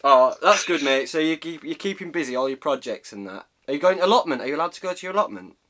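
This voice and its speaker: British man, thirties, slightly high pitch, bright forceful voice, jokey casual banter. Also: lively intonation, fast talker. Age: 30s. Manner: jokey, matey. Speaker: vlogger interviewing a friend by phone. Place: small boat cabin, indoors.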